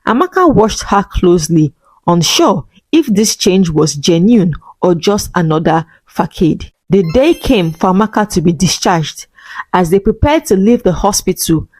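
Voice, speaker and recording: A female storyteller delivers scripted dramatic narration. Her pitch sits in the middle for a woman, and the recording is very dry, with no room sound. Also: lively intonation, measured pace, darkish tone, no echo.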